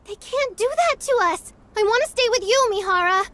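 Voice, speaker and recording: A woman's voice: very high voice, animated, moderate pace, a bit bright, dry recording. A woman voicing a timid, clingy, childlike girl soldier in a game, meek and pleading. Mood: frightened, pleading. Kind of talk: dubbed game cutscene voice acting.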